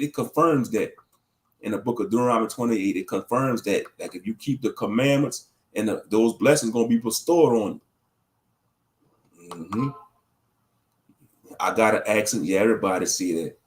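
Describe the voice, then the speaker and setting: Rambling, slangy man on a livestream. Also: mid-range voice. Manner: loose, rambling, preachy, slangy. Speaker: livestream commentator. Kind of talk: casual livestream talk answering chat.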